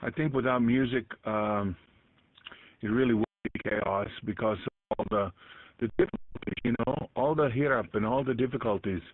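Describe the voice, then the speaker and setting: A man chatting casually over an amateur radio link, reflective and halting as he searches for words. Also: mid-range voice. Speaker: amateur radio conference participant. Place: voice heard over internet-linked ham radio. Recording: muffled, dry digital radio link audio.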